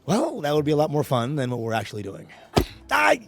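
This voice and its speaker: Creaky, swooping young comedian. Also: male voice, a little high, huge pitch swings, brisk, fairly bright tone, soft background music, full character voice. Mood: teasing. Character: laid-back, smirking young male comedian. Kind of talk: naturalistic comedy audio drama acting.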